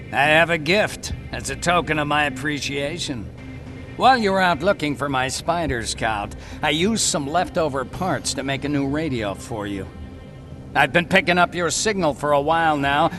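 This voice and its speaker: An actor playing an eccentric, talkative scientist and hacker in a video game cutscene, eager, blunt and given to explaining. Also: male voice, on the high side, close to an everyday voice. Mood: friendly, eager.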